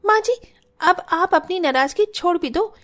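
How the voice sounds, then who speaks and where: Woman acting a rich cartoon mom, high voice, haughty and lazy. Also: expressive, normal speed, fairly bright tone. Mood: coaxing.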